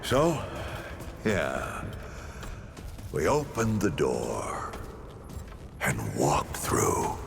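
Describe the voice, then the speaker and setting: An actor playing a weary, reflective veteran founder and explorer in a video game, telling his story with deliberate drama. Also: extremely low pitch, huge pitch swings, gravelly, background noise, exaggerated voice. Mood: grave, rueful.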